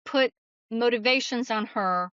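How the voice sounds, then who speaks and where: A woman's voice: a little high, measured pace, bright, forceful tone, clean tone, no room sound, dull audio. A woman speaking as a guest author in an interview, telling stories in an earnest, explanatory, conversational way. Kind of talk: conversational interview answers, storytelling.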